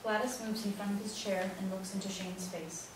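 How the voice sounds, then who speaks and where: A woman's voice: mid-range voice, level tone, a little fast. A woman reading scripted stage directions as the narrator of a play. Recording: very echoey room, distant mic, noisy.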